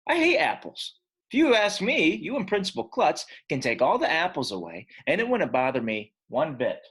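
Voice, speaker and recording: A school-hating eight-year-old boy telling his storybook tale, acted out by a man. He is grumpy and sure of his opinions, with very lively, swooping emphasis and a slightly rough, husky tone. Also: a little high, normal speed, voice pushed up, heavily acted. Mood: defiant. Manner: emphatic, expressive. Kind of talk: first-person children's storybook narration.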